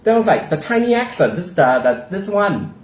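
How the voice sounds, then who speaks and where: A man's voice: high-pitched, a little fast, roomy sound. A man with a Singaporean accent chatting as co-host and telling stories with a lot of animation. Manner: animated storyteller, puts on a Hong Kong accent. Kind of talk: casual chat.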